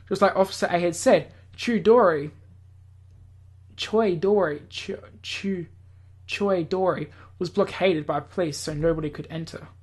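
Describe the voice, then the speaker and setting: A man reading a visual novel aloud as a let's play host, stumbling now and then over words and names. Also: high voice. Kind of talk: read-aloud narration with casual commentary.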